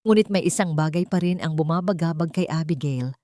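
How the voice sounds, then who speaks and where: A woman with a fairly low voice reads a dramatic audiobook story. The delivery is scripted narration, and the sound is dry and close, with little room in it. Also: a little fast, dark tone, dry recording.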